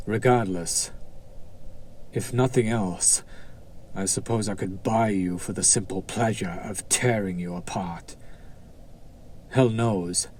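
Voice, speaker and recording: A man playing a haughty, coolly amused demon buyer in a roleplay audio drama, cultured and menacing, with a deliberate, condescending, taunting delivery. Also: on the low side, darkish tone, slight background noise.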